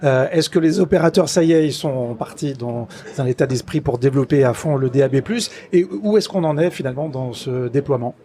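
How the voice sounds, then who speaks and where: Male co-host, French accent, mid-pitched, dark soft-edged tone. Conversational interviewer. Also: a little fast, few pauses. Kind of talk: conversational radio interview questioning.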